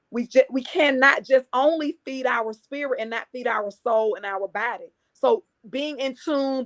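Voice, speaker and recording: A woman with a slightly high voice speaks as a podcast guest. She talks earnestly with a preacher's emphasis, repeating key phrases to drive each point home. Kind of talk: conversational interview.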